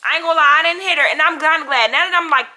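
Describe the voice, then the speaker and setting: A woman's voice: high voice, rapid-fire, sharp tone. A young American woman telling a casual storytime in a dramatic, slangy way, acting out the dialogue as she goes. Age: young adult. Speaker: storytime vlogger.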